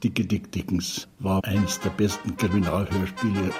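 A man's voice: low-pitched, flat delivery, moderate pace, music in the background. An older man, a retired sound engineer, reminiscing in an interview with loose, nostalgic storytelling.

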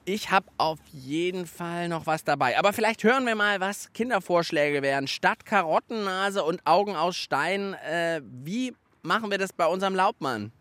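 A man's voice: high-pitched, lively intonation, moderate pace, few pauses, fairly bright tone. A man hosting a children's science show, chatting cheerfully and laughing easily. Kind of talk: casual presenting for children.

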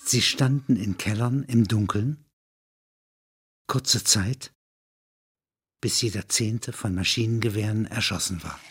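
A man's voice: fairly low pitch, takes it slowly, pauses often, fairly bright tone, breathy edge. A man reading literary narration like a documentary report, sober and matter-of-fact.

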